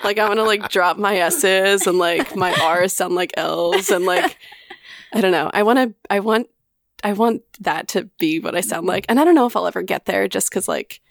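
A woman's voice: medium pitch, normal speed, creaky, dry recording, studio quality. A woman chatting casually in a group, hesitant and full of "like" fillers. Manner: relaxed, hesitant, many "like" fillers.

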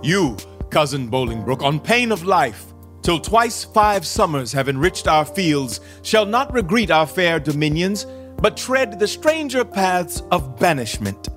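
A man's voice: a little high, very animated, unhurried, a bit bright, faint music behind. A haughty young king. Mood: stern, regal. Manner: formal, declaiming verse. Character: young English king banishing his cousin, haughty. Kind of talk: Shakespeare stage reading for audio drama.

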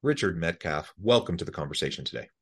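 Deep-voiced male podcast host. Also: lively intonation, quick pace. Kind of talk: podcast interview.

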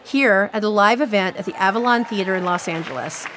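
A woman's voice: mid-range voice, fairly quick, a crowd in the background, background noise. A woman with an American accent hosting a radio program in scripted narration. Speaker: radio show host.

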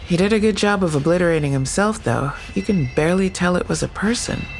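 Gravelly, probing detective. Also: male voice, high-pitched, lively intonation, quick pace, faint music behind. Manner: questioning, insistent. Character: male detective, probing and persistent.

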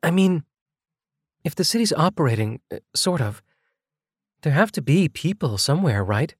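A man's voice: on the high side, very animated, moderate pace, breathy edge, no echo, studio quality, pitched above the performer's own voice. A man voicing an earnest, challenging young woman in an audiobook, insistent and emotionally direct. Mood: hushed, uneasy.

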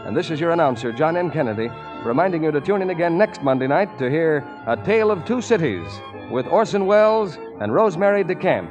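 A male announcer reads in the style of forties network radio. His voice is on the high side, over prominent music, and the old recording is muffled. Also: expressive, brisk. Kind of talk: 1940s radio announcing, scripted credits. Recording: dull 1940s radio recording.

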